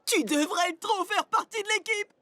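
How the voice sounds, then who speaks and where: A woman's voice: very high voice, moderate pace, very noisy. A woman voicing an earnest, loyal young bug in a cartoon, with emphatic, informal delivery. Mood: surprised. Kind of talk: animated cartoon dub acting. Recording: music behind the voice.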